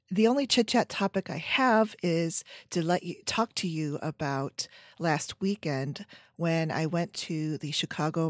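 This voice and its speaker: A woman's voice: sits low, no echo, studio quality. Scratchy-voiced woman host, chatty. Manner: chatty, lots of ums and trailing pauses. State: scratchy voice from a sinus infection. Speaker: podcast host. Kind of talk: solo casual chat. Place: home room with bookshelves. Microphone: headset.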